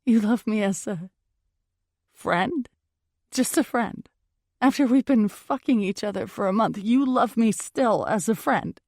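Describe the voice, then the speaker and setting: A woman's voice: a little high, measured pace, a little mellow, no echo, clean studio sound. A woman voicing a heartbroken, wounded and furious young woman in first-person audiobook dialogue, emotional and emphatic. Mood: hurt, furious.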